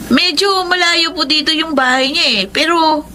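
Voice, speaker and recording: A woman's voice: very high voice, normal speed, pushed and bright. An actress playing a polite, naive seventeen-year-old granddaughter in a Filipino radio drama, earnest and girlish. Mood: earnest, pleased. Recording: faint background noise.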